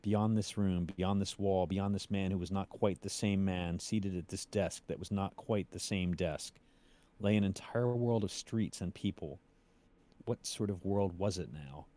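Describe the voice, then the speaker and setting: A man reading aloud in a measured, suspenseful storytelling style. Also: low-pitched, dark tone. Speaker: cast member reading a story aloud on a stream. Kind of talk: dramatic story reading, then casual chat.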